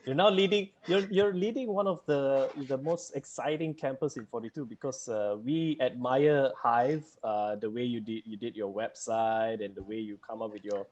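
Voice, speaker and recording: A man's voice: medium pitch, normal speed, few pauses, darkish tone. A man hosting an online townhall for students, chatty and rambling, laughing often and using lots of fillers. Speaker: host. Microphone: video call. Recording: muffled video call audio.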